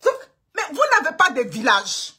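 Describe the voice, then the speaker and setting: A woman's voice: high-pitched, very animated, normal speed, sharp tone, breathy edge. A woman from Côte d'Ivoire delivering an emphatic, repetitive political monologue, lecturing her audience and hammering her points home. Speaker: online commentator. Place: home in Canada.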